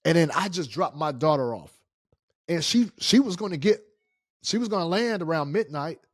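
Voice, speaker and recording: A middle-aged American man hosting a casual story time, speaking in an animated, indignant and emphatic way. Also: on the high side, moderate pace, no room sound, clean studio sound. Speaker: story-time show host.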